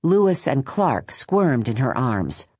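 A woman narrating a children's novel aloud in a gentle, wry storytelling manner. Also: extremely low pitch, big pitch swings, takes it slowly, breathy edge, no room sound, clean studio sound. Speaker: audiobook narrator. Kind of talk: scripted narration.

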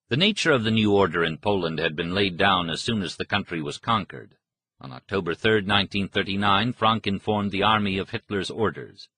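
A man reading a history book as a scripted narration, in a measured, formal manner with a slightly husky voice. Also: on the low side, fairly bright tone, no echo. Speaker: audiobook narrator.

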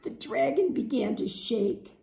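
A woman's voice: on the high side, animated, measured pace, creaky, warm tone. A woman in her fifties reading a story aloud to children, with dramatic emphasis and questions to the listeners. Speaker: teacher reading a picture book to children. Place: large open living room at home, indoors. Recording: roomy home echo.

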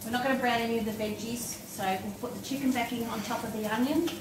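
A woman's voice: a little high, fairly even intonation, quick pace. A middle-aged woman, giving a casual, step-by-step cooking demonstration while busy at the stove in a home kitchen. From Australia. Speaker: home cook presenting a recipe. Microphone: camera mic a few steps away. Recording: roomy, distant, noisy kitchen camera sound. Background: pots and dishes clattering, sizzling.

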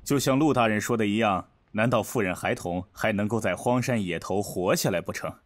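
A man's voice: lowish voice, brisk, slight background noise, close to an everyday voice. A man acting a scheming, contemptuous emperor in a Chinese period audio drama, imperious and dismissive. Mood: scornful, scheming.

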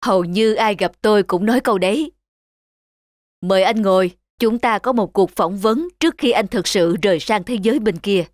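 A woman voicing a matter-of-fact, unhurried Grim Reaper in an animated fantasy. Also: medium pitch, dry recording, studio quality, close to an everyday voice. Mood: businesslike. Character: calm, businesslike Grim Reaper interviewing the newly dead. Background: background music.

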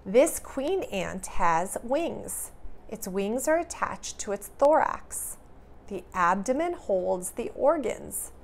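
A woman's voice: mid-range voice, very animated, slow pace, vocal fry. A woman preschool teacher giving a read-aloud lesson for young children, with careful, simple, questioning delivery.

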